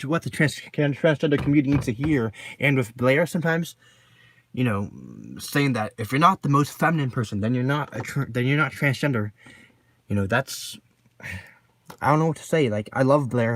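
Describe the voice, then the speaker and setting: Deep-voiced man rambling in a vlog. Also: a bit bright, no room sound. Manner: rambling, with constant "you know" fillers and repeats. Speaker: vlogger. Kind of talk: casual personal vlog monologue.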